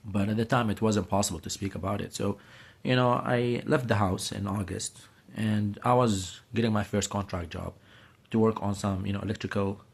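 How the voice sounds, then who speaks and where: A man's voice: fairly low pitch, fairly even intonation, normal speed, gravelly, husky. A man in his late thirties from Syria, talking casually to a phone camera in a small room, rambling with many fillers and restarts. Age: late 30s. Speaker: vlogger. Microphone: phone camera held close.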